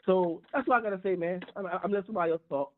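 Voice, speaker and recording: A man's voice: high-pitched, a little hiss or hum. A man on a tinny phone line in an impassioned group discussion, emphatic, repeating and restarting his words. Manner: repetitive, halting restarts, emphatic. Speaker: call-in talk show panelist.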